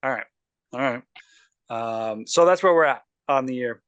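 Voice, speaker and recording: A man's voice: mid-range voice, moderate pace, a bit bright, dry recording, dull audio. A man hosting a casual sports podcast, talking loosely with lots of ums and restarts. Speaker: podcast host.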